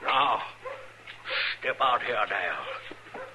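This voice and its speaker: An actor playing a mad, wrathful old backwoods preacher in an old-time radio western, thundering in archaic biblical speech. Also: male voice, medium pitch, animated, very slow. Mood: wrathful, menacing. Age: older. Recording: hissy old radio drama recording.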